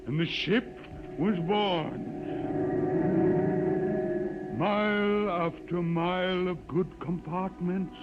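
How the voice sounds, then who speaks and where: A muffled old radio recording with music behind carries a pompous old holy man in a science-fiction drama. He declaims slowly and solemnly like liturgy, with menace under the piety. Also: high voice.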